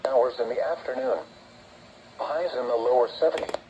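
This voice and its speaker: A man's voice reading a scripted weather bulletin in a formal, measured way, heard through a radio speaker. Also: medium pitch, lo-fi sound. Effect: played through a radio speaker. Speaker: weather radio forecast announcer. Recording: very noisy clicking weather radio playback.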